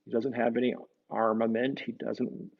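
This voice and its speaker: Gravelly-voiced man reading a storybook aloud. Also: mid-range voice, little pitch movement, moderate pace, lo-fi sound. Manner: gentle, explanatory, asks the listeners tag questions. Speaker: priest reading a picture book to children. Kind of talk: storybook read-aloud with conversational asides.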